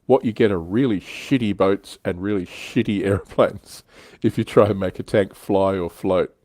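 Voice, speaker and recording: A man's voice: fairly low pitch, normal speed, a little mellow, dry recording. A man hosting a casual hobby livestream, reading out comments and chatting in an informal, wry way. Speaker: livestream host.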